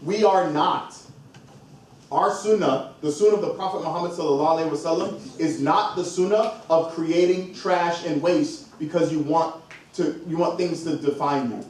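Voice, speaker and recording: A man's voice: a little high, moderate pace, a little mellow. Echoey man moderating a live panel. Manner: earnest, emphatic, encouraging. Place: event hall with audience. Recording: echoey hall from far off, noisy.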